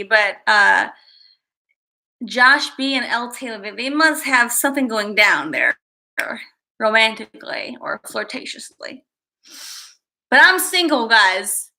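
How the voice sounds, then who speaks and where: High bright-voiced woman rambling to her livestream chat. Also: poor audio. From Chicago, USA. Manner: rambling, chatty, reads viewer names aloud. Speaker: livestream host.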